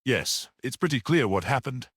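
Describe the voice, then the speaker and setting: A man voicing a brisk, confident police detective in a storybook reading, matter-of-fact and assertive. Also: mid-range voice, expressive, a bit bright, dry recording, clean studio sound, voice pushed up. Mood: confident, explaining.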